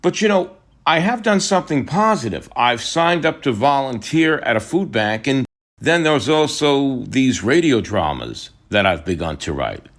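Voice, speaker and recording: A man's voice: medium pitch, big pitch swings, moderate pace, a bit bright. A man playing a frustrated, discouraged middle-aged writer in a radio drama, speaking in a hesitant, confessional way. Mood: earnest, searching.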